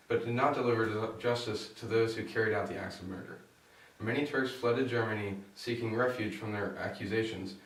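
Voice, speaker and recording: A young man reading a scripted report as a student reporter. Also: fairly low pitch. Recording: echoey room, distant mic.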